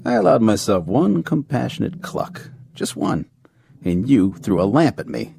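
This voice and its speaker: An actor playing a candid older family doctor in a radio drama, dry and measured. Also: male voice, fairly low pitch, sing-song, creaky, warm tone, slight background noise, heavily acted. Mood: wry.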